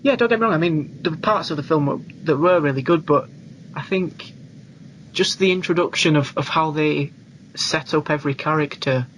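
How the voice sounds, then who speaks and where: A man's voice: fairly high pitch, moderate pace, a bit bright. A man co-hosting a podcast, chatting casually and with informal, opinionated enthusiasm about films. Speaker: podcast co-host. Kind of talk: casual film discussion. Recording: clearly noisy recording.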